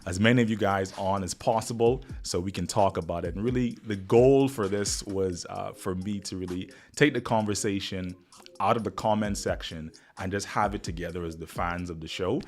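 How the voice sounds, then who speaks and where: A man's voice: low voice, moderate pace. A man with a Bahamian accent hosting a casual live stream, rambling and upbeat as he talks straight to viewers, still getting over the flu. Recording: music playing faintly behind.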